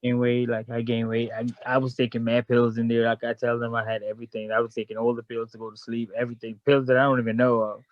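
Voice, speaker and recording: A man's voice: mid-range voice, completely flat delivery, quick pace, few pauses, no echo, highs cut off. A man from Guyana, a guest in a casual chat, telling a long story in a frank, rambling way.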